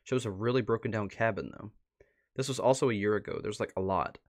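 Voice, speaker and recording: Low-pitched man streaming casually. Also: fairly quick. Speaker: livestream host. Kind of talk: casual livestream commentary, reading posts aloud. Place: indoors at a computer.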